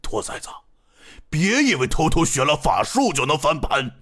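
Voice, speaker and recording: A man voicing an angry, thuggish beast-monster disciple with a rough, menacing delivery in an audio drama. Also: on the high side, big pitch swings, normal speed, fairly bright tone. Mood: furious gloating. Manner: menacing, swearing, contemptuous. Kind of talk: dramatized audio novel acting.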